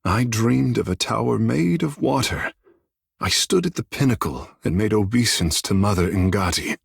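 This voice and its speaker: Fantasy game companion: a flamboyant, vain godlike fish-man, very animated, husky-edged, formal and flirtatious, recorded dry. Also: fairly low pitch, no echo, full character voice. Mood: reverent, wistful. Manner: flamboyant, lofty formal phrasing, theatrical. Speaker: player's companion character. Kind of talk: fantasy video game voice acting.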